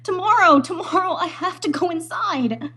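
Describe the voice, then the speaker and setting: A woman's voice: very high voice, animated, moderate pace, bright, forceful tone, exaggerated voice. A woman playing an anguished young island daughter torn by love, pleading breathlessly in an old-time radio melodrama. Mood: evasive, nervous.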